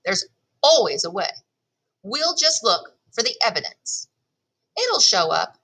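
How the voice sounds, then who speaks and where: A woman voicing an angry, accusing mother in a children's story, with a growling, menacing and deliberate way of talking. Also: medium pitch, very animated, vocal fry, bright, forceful tone, exaggerated voice. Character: stormy, accusing, vindictive mother of a schoolgirl.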